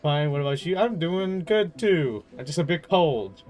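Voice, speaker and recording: A young man chats on a game livestream in a somewhat high voice. He is casual, slangy and playful as he reacts, with faint game music behind him. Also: expressive, normal speed, darkish tone. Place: in a room at home.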